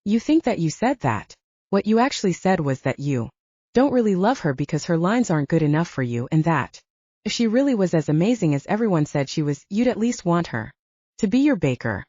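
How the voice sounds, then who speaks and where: A woman's voice: lowish voice, very animated, a little fast, dark tone, dry recording. A woman reading the lines of a blunt, mocking male werewolf friend in an audiobook. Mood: teasing. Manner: mocking, frank. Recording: dull dry lo-fi audio.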